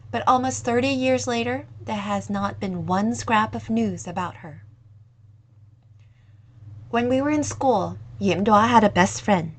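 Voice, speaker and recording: A woman reading a short story aloud in a measured, gentle storytelling manner. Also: mid-range voice, rough recording. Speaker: reader. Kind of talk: scripted narration of a short story.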